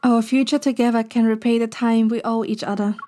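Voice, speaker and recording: Woman acting affectionate young heroine, high mellow voice, soft, earnest, romantic audio drama. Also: steady tone, a little fast, a little hiss or hum, lightly acted. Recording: music behind the voice.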